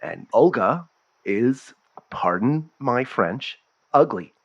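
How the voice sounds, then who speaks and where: A man's voice: medium pitch, expressive, slow, gravelly, lo-fi sound, heavily acted. A man playing a fussy, defensive husband calling an advice show, hesitant and earnest, in improvised comedy. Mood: exasperated. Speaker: caller.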